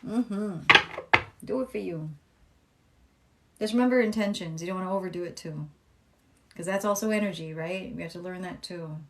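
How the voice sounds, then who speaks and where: Calm-voiced woman reading tarot live. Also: fairly low pitch, normal speed, long pauses, a little hiss or hum. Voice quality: calm, soothing voice. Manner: chatty, swears freely, reads comments aloud. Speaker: tarot reader live-streaming.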